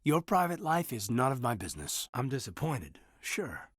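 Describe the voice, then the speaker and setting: Husky, earnest space officer. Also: male voice, mid-range voice, big pitch swings, moderate pace, dry recording, clean studio sound. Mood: dutiful, matter-of-fact, then quiet, hurt. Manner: sincere, thoughtful, dutiful, wry understatement. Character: earnest, reserved human military officer in space. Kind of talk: video game dialogue acting.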